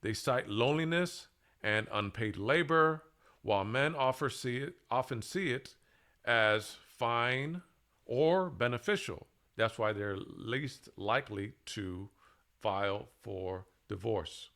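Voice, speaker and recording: A man presenting a scripted monologue in a deliberate, halting way, with emphatic pauses between short phrases. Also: mid-range voice, fairly bright tone. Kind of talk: scripted monologue, public speaking.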